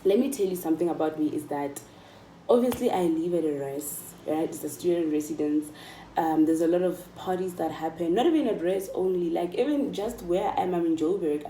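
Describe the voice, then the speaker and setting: A woman's voice: fairly low pitch, a little fast, mellow, slight background noise. A South African woman in her mid-twenties talking casually and emphatically to viewers, encouraging them and repeating phrases for stress. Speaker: vlogger. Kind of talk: casual motivational chat to viewers. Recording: echoey room, distant microphone.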